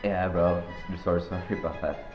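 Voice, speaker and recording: A teenage boy from Norway hosting a joking school podcast, talking in deadpan, slangy banter. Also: deep voice, moderate pace, reverberant, muffled recording, music in the background. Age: teens. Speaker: podcast host. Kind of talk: joking student podcast interview.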